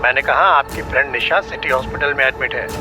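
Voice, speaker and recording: A man's voice: mid-range voice, steady tone, fast talker, music in the background, lightly acted. An actor playing a polite, formal man calling from a hospital with information in an audio drama. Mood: formal, urgent. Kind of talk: Hindi horror audio drama acting.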